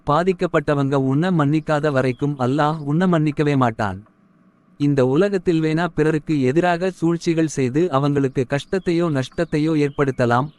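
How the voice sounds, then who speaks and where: Man acting a moralizing husband, stern, instructive, higher-pitched, dry close recording, animated short. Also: clean tone, no room sound, lightly acted. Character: stern, preachy husband admonishing his jealous wife.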